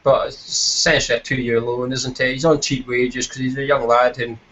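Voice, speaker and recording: A man's voice: mid-range voice, flat delivery, moderate pace, a bit bright, slight background noise. A Scottish man casually hosting a football fan chat show in a self-deprecating, jokey way. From Scotland. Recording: dull lo-fi voice chat.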